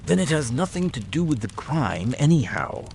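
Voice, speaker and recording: A man's voice: medium pitch, sing-song, moderate pace, creaky, a little mellow, a little hiss or hum, voice pushed up. A man voicing a plain-spoken, puzzled country police sergeant in an audiobook drama, blunt and folksy.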